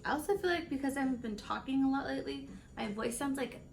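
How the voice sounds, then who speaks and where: A raspy woman in her late twenties with a gravelly edge, chatting her way through a makeup routine. She rambles from tip to story and laughs in between, with quiet music playing behind her. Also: high voice, quick pace. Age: late 20s. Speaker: beauty vlogger. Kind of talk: casual chatty makeup tutorial.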